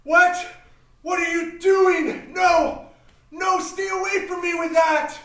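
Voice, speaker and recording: High, bright-voiced husband, played by a man. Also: fairly even intonation, slow, full character voice. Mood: terrified. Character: polite, wary American husband, hotel guest. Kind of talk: live radio drama acting. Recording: live stage recording with background noise.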